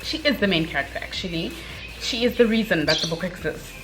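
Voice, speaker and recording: A young woman giving a casual, chatty book review, speaking in an expressive, gushing, slangy way with dramatic emphasis. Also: fairly low pitch, brisk, faint music behind, low-quality recording.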